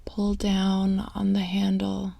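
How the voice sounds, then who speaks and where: A woman leading a guided meditation in a hushed, breathy, unhurried delivery, leaving long pauses between soothing phrases. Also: medium pitch, completely flat delivery, no room sound.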